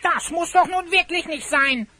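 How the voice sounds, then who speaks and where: Radio play role: tiny sulky elf goblin, extremely high, sharp-edged voice, sarcastic and easily offended. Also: unhurried, a little hiss or hum, heavily acted. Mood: grumbling. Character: small touchy grumbling male elf goblin sidekick.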